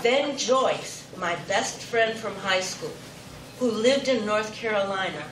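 A woman's voice: mid-range voice, slow. An older woman telling a personal story to a live audience with wry, self-mocking humor. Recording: roomy, distant, noisy live recording.

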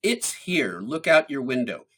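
A man speaking as a scientist guest in an interview, explaining in a measured way and stressing key points. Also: mid-range voice, sing-song, sharp tone, slightly rough.

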